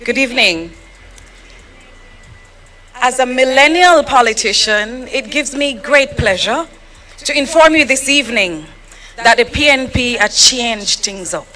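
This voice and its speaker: Bright, playful Jamaican woman politician. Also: on the high side, lively intonation, unhurried, long pauses. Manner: playful and theatrical. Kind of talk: speech at a political rally.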